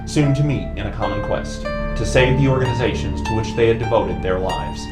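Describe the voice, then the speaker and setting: Echoey low male announcer over loud guitar. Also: a little fast. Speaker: recap announcer. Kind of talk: scripted fantasy recap narration. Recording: roomy echo under loud music. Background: loud plucked guitar music.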